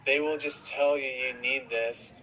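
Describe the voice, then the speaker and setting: Slow-talking man with a very bright voice. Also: mid-range voice, fairly even intonation. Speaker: vlogger and co-host. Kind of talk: casual chat with advice to viewers. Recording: dull lo-fi sound, faint noise.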